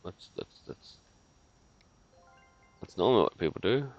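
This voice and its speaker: A man's voice: low voice, animated, unhurried, muffled recording. A man giving casual, joking commentary as a game streamer while he plays.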